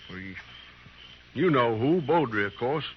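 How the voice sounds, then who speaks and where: A dull, faintly noisy vintage radio western. A man acts a troubled American townsman of the Old West, mid-pitched, folksy and earnest, with a bitter edge. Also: expressive, measured pace, natural voice. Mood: wary.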